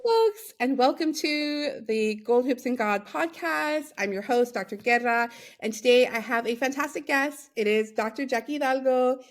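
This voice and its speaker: A woman's voice: sits high, lively intonation, moderate pace, few pauses. A woman hosting a podcast, introducing her guest with laughter and frequent affirming backchannels. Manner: warm, giggly, many affirming backchannels. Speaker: podcast host. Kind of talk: casual interview.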